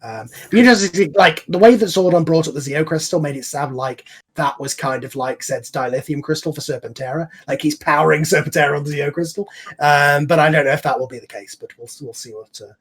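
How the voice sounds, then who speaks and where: A man's voice: a little high, fast talker, slightly rough. A man hosting a casual group chat online, talking informally and with enthusiasm. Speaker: host and series creator. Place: remote online voice call.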